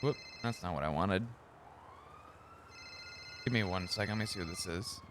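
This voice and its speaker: A man's voice: low voice, normal speed, noisy background. A man streaming a roleplay game, chatting in character in a casual, swearing manner. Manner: casual, swears often, chuckles.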